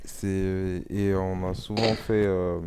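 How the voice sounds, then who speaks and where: A man's voice: sits low, level tone, slow, over loud music. A man speaking as a guest in a casual discussion. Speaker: panel guest, music maker.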